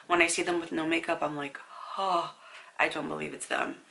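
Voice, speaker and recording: Stuffy-nosed woman with a gravelly bright voice. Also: deep voice, fairly even intonation, moderate pace. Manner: chatty, storytelling, asides to the viewer. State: stuffy nose from a sinus infection. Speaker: beauty vlogger. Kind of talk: casual makeup tutorial talk.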